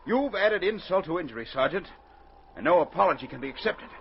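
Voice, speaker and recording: Pompous, high-voiced, blustering radio villain. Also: male voice, sing-song, a little fast, creaky. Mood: outraged. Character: indignant, self-important mining syndicate man, a villain. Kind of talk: 1940s radio drama acting. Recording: muffled, faintly noisy 1940s radio recording.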